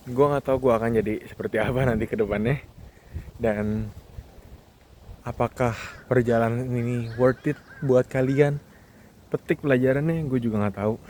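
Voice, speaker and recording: A man's voice: medium pitch, a little mellow. Young Indonesian man musing, noisy outdoor recording. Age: 20s. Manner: candid, pausing, mixes in English phrases. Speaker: vlogger. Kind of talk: reflective walk-and-talk monologue, casual. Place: outdoors while walking. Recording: outdoor wind and ambient noise.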